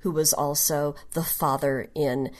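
A woman presenting a prepared podcast talk on history in a measured, explanatory manner. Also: sits low, steady tone. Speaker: genre history segment presenter.

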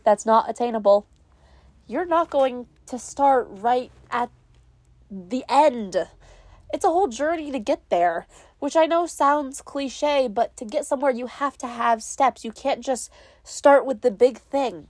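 A young woman hosts her own podcast, talking casually and wandering off on tangents with plenty of self-mockery. She has a cold, so her voice comes out stuffy and a little nasal. Her voice sits slightly high, and the recording is close and dry. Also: unhurried, a little mellow, no echo. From New England, US. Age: young adult. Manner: rambling, chatty, self-mocking. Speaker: podcast host. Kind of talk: casual solo podcast chat.

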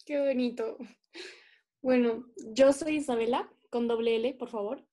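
A woman's voice: on the high side, fairly even intonation, measured pace, dark tone. A young woman from Colombia co-hosting a casual podcast, speaking earnestly with many fillers over a remote call. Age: young adult. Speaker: podcast co-host. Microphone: remote call recording.

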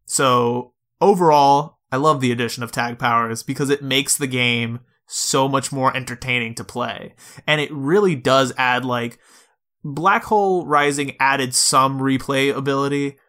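A man's voice: medium pitch, steady tone, unhurried, fairly bright tone. A man co-hosting a podcast, talking casually about video games and explaining things step by step. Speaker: podcast host. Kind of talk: casual video game discussion.

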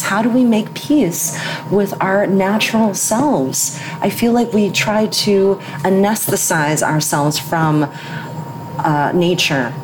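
A woman, an English speaker, interviewing a filmmaker in a reflective, musing way, full of rhetorical questions. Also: mid-range voice. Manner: thoughtful, musing, many fillers and rhetorical questions. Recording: noticeable background noise.

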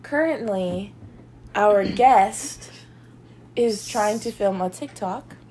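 A woman's voice: medium pitch, takes it slowly, darkish tone, a little hiss or hum. A young woman co-hosting a casual chat, sounding stuffy and congested and rambling with giggles and fillers. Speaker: podcast co-host.

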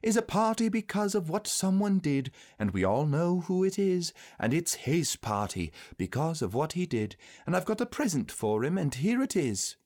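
A man's voice: fairly high pitch, big pitch swings, normal speed, hardly any pauses, darkish tone, voice pushed up. A man voicing an earnest, kindly, eager young boy in a storybook reading. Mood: announcing.

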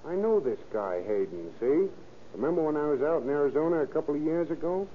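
A man's voice: a little high, animated, fast, natural voice. An actor playing a scheming American tough guy in an old radio crime drama, with a slangy, emphatic delivery. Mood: eager, insistent. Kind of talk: 1940s radio acting. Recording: scratchy, narrow-band old radio recording.

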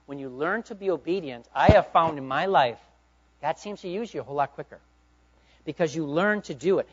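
A middle-aged male pastor preaching a sermon, swinging from loud urging to hushed, quiet asides. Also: a little high, lively intonation, a little fast. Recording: dull lo-fi sound.

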